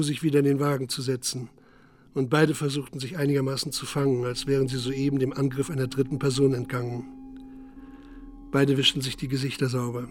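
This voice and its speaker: A man's voice: mid-range voice, a little mellow. Sober male radio drama narrator. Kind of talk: scripted literary narration. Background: music.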